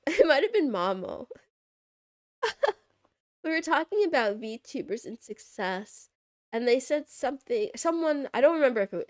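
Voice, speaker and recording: A woman's voice: high voice, no room sound, clean studio sound. A young woman streaming and chatting with viewers, playful and giggly with cat puns, her voice stuffy from congestion. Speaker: VTuber streamer. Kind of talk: casual livestream chat reading viewer messages.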